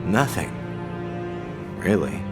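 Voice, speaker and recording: A man's voice: low-pitched, sing-song, slow, over music, full character voice. An actor playing a laid-back, guarded young man in a video game, with an offhand, sardonic manner. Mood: dry, evasive. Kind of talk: video game cutscene voice acting.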